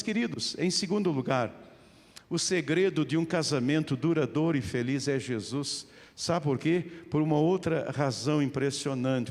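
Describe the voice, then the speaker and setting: A middle-aged man with a European Portuguese accent preaching an evangelical sermon as a pastor, addressing his listeners directly with emphatic repetition. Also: on the high side. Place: large church hall, live service. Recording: long hall reverb of a church.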